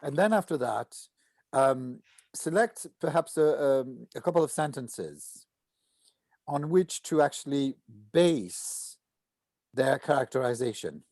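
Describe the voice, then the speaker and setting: An older man with a mid-range voice talks through ideas at a casual online rehearsal. He pauses and restarts as he thinks aloud. The sound is dry, with little room around it. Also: mid-range voice, expressive, no echo. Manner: halting, thinking out loud. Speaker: actor. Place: indoor room at home, video call.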